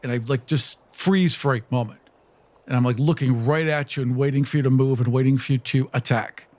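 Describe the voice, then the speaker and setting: A man's voice: medium pitch, steady tone, fairly quick, darkish tone. A man hosting a podcast, casually narrating as a tabletop roleplaying player.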